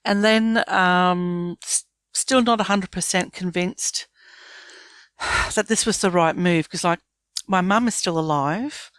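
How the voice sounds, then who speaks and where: A woman's voice: fairly low pitch, slow pace, fairly bright tone, smooth, dry recording. An Australian woman in her fifties speaking candidly and reflectively as a guest in a conversational interview. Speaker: interview guest, small business owner.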